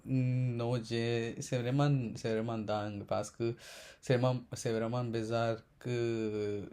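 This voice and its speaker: A man in his twenties from India, a Telugu speaker, talking in halting learner's French with many pauses and self-corrections. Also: medium pitch, level tone, dark tone, clear, resonant tone. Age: 20s. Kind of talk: casual unscripted monologue.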